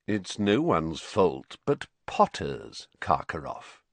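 A cold professor full of quiet malice, acted by a male narrator. He speaks softly and slowly in a low sneer, like a storybook antagonist. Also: wildly swooping pitch, dry recording, exaggerated voice. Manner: soft, slow, sneering.

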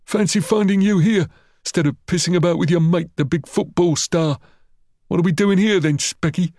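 A menacing teenage schoolboy bully from an audiobook, acted out by a man. The voice is pushed high and close, with sneering, taunting jeers aimed at a victim. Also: normal speed, darkish tone, no room sound. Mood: sneering menace.

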